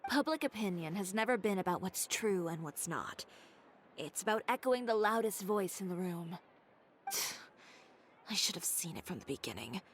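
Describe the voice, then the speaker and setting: A woman voicing a proud young fashion designer in a video game, cool, measured and self-assured. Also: mid-range voice, very animated, noisy background, close to an everyday voice.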